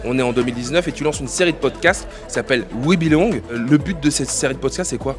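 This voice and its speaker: A man's voice: medium pitch, quick pace, faint music behind. A man hosting street interviews at a film festival, asking upbeat, chatty questions. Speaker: host. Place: outdoors in a city.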